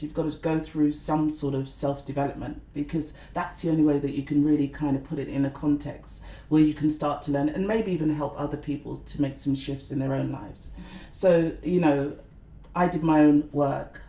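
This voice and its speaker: A woman's voice: low-pitched, fairly even intonation, moderate pace, warm tone, roomy sound. A middle-aged woman, speaking earnestly as an interview guest and often saying "you know". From London, England. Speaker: guest, blogger and conference organiser. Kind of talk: reflective interview talk.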